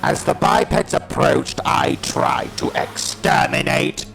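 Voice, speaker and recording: Casting: stranded alien war machine, cold and fanatical, gravelly robotic rasp, slow halting delivery. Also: male voice, on the high side, fairly bright tone, faint music behind, full character voice, poor audio. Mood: grim, determined. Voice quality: harsh, grating, electronic. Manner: halting, staccato, declamatory. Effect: robotic voice modulation. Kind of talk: dramatic first-person narration in audio drama.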